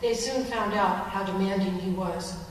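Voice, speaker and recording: Woman speaking at a memorial, mid pitch, really echoey room, faint background hum. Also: fairly even intonation, moderate pace. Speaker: longtime colleague speaking at a memorial. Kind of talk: prepared memorial tribute. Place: large hall at a memorial service. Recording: distant echoey hall recording.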